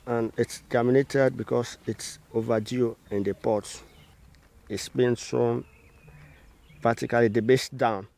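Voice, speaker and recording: A man's voice: mid-range voice, steady tone, measured pace, creaky, darkish tone. Male instructor, mid-range voice, walking learners through a demonstration methodically in formal terms. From Sierra Leone. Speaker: agricultural trainer.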